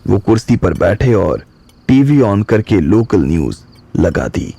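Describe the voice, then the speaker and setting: A man narrating an audio drama in calm, measured storytelling. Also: fairly low pitch, gravelly, no echo. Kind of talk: slow scripted audiobook narration. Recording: soft music bed.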